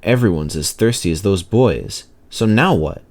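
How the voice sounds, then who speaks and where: A man's voice: low voice, animated, moderate pace. A man voicing a plain-spoken working engine driver in a children's storybook. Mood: glum. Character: working male engine driver, helpful but easily discouraged.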